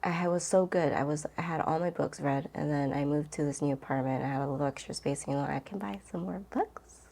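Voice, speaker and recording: A woman's voice: sits low, brisk, creaky, mellow. A woman book vlogger chatting casually and rambling to camera, with an informal, gushing and emphatic way of talking.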